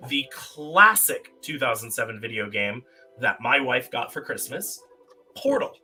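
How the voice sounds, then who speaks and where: Male live-stream game host, keen and emphatic, piercingly bright mid-range voice, light music underneath. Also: mid-range voice, very animated, measured pace, breathy edge. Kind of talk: casual chat and quiz hosting on a live stream. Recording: faint music behind the voice. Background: faint music.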